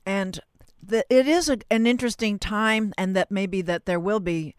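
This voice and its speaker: A woman hosting a radio interview in a conversational, halting, roundabout way. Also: mid-range voice, clean tone, no room sound, studio quality.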